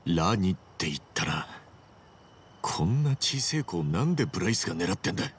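Hot-blooded gangster hero, low but very animated, rough blunt talk, game drama. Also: male voice, a little fast, exaggerated voice. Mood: indignant disbelief. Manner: rough, casual, emphatic speech. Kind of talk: Japanese video game cutscene acting.

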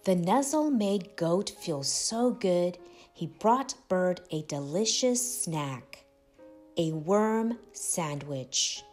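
Slow, animated woman reading a storybook. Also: on the low side, soft background music. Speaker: storybook narrator, host of read-aloud channel. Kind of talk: expressive children's read-aloud.

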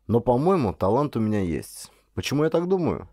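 A man reading a first-person story as an audiobook narrator, in a dramatic, expressive way with varied intonation. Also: medium pitch, a little fast, no room sound.

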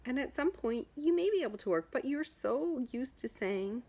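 Husky woman, informal coaching. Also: high-pitched, expressive, normal speed, dark tone. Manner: emphatic, repetitive, conversational. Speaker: health vlogger giving self-help advice. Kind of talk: informal direct-to-camera coaching. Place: small furnished room at home, indoors.

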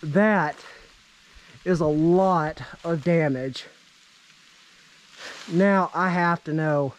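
A man's voice: fairly high pitch, extremely slow, a little hiss or hum, poor audio. A man in his thirties narrating a casual exploration vlog outdoors on a handheld camera, talking in an awestruck, exclaiming way. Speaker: vlog host. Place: outdoors in woods around abandoned railway.

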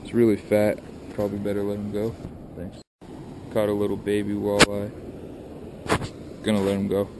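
Young dude fishing vlog, plain deadpan talk, low even voice, action cam up close outdoors. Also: male voice, moderate pace, very noisy. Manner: plain, matter-of-fact, listing gear. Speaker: fishing vlogger. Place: outdoors by a truck and a river at dusk. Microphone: wide-angle action camera held close.

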